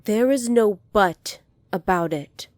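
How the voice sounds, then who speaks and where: A woman playing a poised, kindly young alien princess in an ASMR roleplay, polite, formal and measured, soft-spoken with breathy moments. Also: medium pitch, animated, no echo, clean studio sound. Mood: stern, authoritative.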